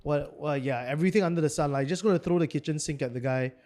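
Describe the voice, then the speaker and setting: A man's voice: a little high, quick pace, darkish tone. A man giving chatty, analytical live commentary on a card game tournament. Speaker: guest commentator.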